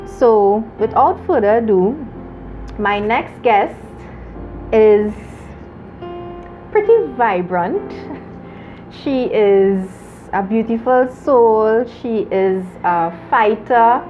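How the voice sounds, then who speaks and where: A woman hosting a talk show, earnest and measured, laughing often with her guest. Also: fairly high pitch, lively intonation, narrow, tinny audio, faint music behind. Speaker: host.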